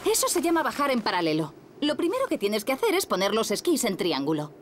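A woman's voice: a little high, wildly swooping pitch, fast talker, noisy background. A woman voicing a patient, reassuring young mother in a children's cartoon, with a Castilian Spanish accent. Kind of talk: children's cartoon dub acting.

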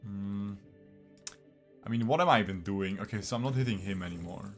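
A man's voice: on the low side, a little fast, soft background music. A young man from Bosnia, raised in Switzerland, chatting to his viewers as he streams a strategy game from a small room at his desk mic. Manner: chatty, talks to his viewers, repeats himself. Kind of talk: live gameplay commentary.

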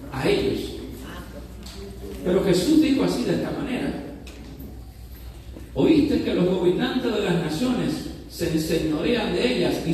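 A man with a very high voice preaches a fervent sermon, shouting at the peaks and slowing to stress key words. He is heard from a distance in a church sanctuary, with a long, ringing reverb. The room sound is strong around every phrase. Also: a little hiss or hum. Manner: fervent preaching with shouted rises and slow emphasis. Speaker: pastor. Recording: echoey church hall from far off.

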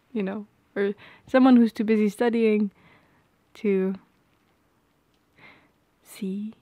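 Soft, slow-talking young woman, ASMR roleplay. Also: mid-range voice, steady tone, no echo, studio quality. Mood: tender, shy. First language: Polish. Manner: soft-spoken, hesitant, often dropping into whispers. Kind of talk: intimate ASMR roleplay acting. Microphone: close microphone.

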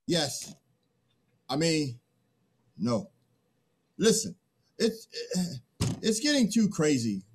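Man acting a husky, hesitant peacemaker. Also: a little high, big pitch swings, very slow, long pauses, full character voice. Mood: earnest, troubled. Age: middle-aged. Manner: hesitant, earnest. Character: conscientious man pleading for the old ways. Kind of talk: dramatic play reading. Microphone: computer mic on video call.

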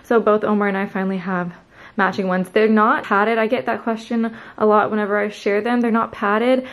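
A woman's voice: mid-range voice, little pitch movement, a little fast. A woman in her twenties chatting casually and upbeat to her viewers from a room at home, recorded on a camera mic. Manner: chatty and upbeat, lots of "like" and "you guys". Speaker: lifestyle vlogger. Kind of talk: casual vlog chat to viewers. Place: indoors at home, bedroom and dressing room. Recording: roomy home echo.

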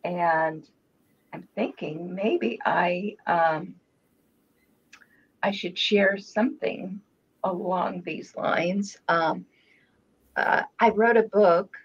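A lower-pitched woman who grew up in Israel, with Hebrew as her first language, talks through a webcam from a room at home. She speaks slowly and pauses often, filling the gaps with "um" and "uh". The call audio is dull and lo-fi. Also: husky. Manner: unhurried, with many pauses and fillers. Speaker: channel host. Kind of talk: casual video call conversation. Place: home room with framed painting. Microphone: video call webcam.

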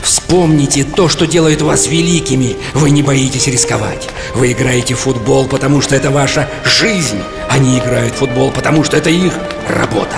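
A man playing a grave, inspirational mentor in a dubbed animated film, speaking solemnly and deliberately. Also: mid-range voice, vocal fry, close to an everyday voice. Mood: solemn, rousing. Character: grave male mentor rallying fallen football heroes. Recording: very loud music behind.

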